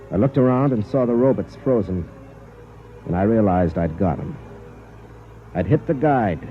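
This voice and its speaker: An actor playing a weary, stubborn soldier on a colony world, narrating his story in plain, grim first person, in 1950s radio drama style. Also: low-pitched. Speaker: narrator and lead character. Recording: dull old radio broadcast.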